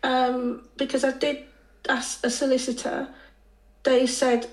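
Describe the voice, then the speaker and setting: A woman calling in with a croaky voice after being ill, speaking haltingly with many pauses. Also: sits high, level tone. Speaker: caller. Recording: echoey call audio.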